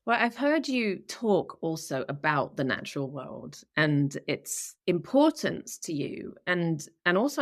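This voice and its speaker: A woman hosting a podcast asks long, reflective questions, often pausing and restarting as she works out her thoughts. Her voice sits a little low for a woman. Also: a bit bright. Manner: thoughtful, searching, slow phrasing with restarts. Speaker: podcast host. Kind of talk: conversational interviewing.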